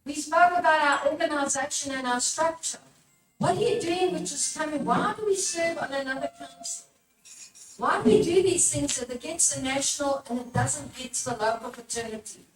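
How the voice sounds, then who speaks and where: Echoey, high-voiced woman teaching a workshop. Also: normal speed, soft background music. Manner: exhortatory, puts questions to the listeners. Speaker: workshop presenter, religious formator. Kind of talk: live workshop teaching talk to an audience. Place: echoey room at a live workshop. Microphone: picked up from a distance. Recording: echoey room, distant mic, background noise.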